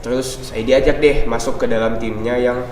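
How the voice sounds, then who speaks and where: A teenage boy, a student guest, answering in a relaxed, chatty way. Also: medium pitch, moderate pace. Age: teens. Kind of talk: casual interview answers. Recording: distant mic in echoey room.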